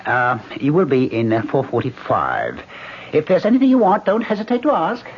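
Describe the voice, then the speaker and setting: A chipper salesy desk clerk, muffled old radio sound. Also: male voice, a little high, big pitch swings, moderate pace. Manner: cheerful, salesy. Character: chatty male inn desk clerk. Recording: dull old radio broadcast with faint hiss.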